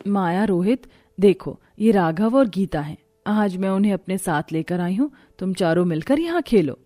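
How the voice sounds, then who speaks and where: A woman's voice: medium pitch, lively intonation, normal speed, dark tone, close to an everyday voice. A woman voicing an emphatic, moralizing mother in a Hindi cartoon moral story. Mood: gentle. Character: adult mother and housemaid, moralizing and scolding. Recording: music behind the voice.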